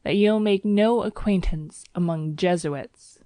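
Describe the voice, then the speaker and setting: A woman's voice: fairly low pitch, unhurried, dark tone, no echo, lightly acted. A woman voicing a fussy, pompous elderly clergyman who lectures earnestly on morals. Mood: stern.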